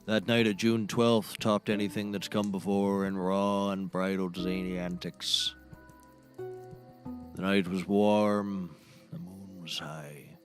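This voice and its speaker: A low-pitched, eccentric comedy character, acted by a man, speaks like a self-serious folksy raconteur. He rambles grandly through his tall tales. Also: steady tone, slow pace, soft background music. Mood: dramatic storytelling. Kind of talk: scripted audio comedy acting.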